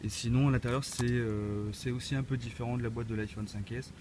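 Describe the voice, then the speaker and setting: A man's voice: medium pitch, steady tone, brisk, heavy background noise. Man with a cold, hesitant unboxing talk. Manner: hesitant, many "euh" fillers. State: has a cold. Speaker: tech reviewer. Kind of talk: casual unboxing walkthrough.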